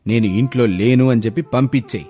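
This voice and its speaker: A man's voice: mid-range voice, brisk, warm tone, natural voice. A man playing a grumbling village elder. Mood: flustered. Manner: exasperated, grumbling. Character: irritable village elder, middle-aged man.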